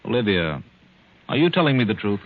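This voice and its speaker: Brisk, low-voiced suspicious husband. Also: male voice, big pitch swings. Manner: clipped, probing, cool. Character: suspicious, controlled husband questioning his wife. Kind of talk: 1940s radio drama acting. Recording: tinny 1940s radio broadcast.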